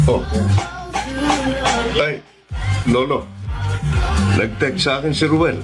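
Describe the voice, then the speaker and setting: A man's voice: mid-range voice, takes it slowly, close to an everyday voice. A man acting an earnest grandson of a feuding political family in a Filipino radio drama. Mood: earnest, concerned. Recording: music under the voice.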